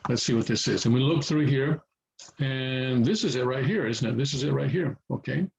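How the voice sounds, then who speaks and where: A man's voice: medium pitch, normal speed, creaky, darkish tone, a little hiss or hum, rough recording. A man whose first language is English, patiently teaching how to read Korean letters in a casual home tutorial, repeating himself and checking in often. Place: home near a highway, passing traffic.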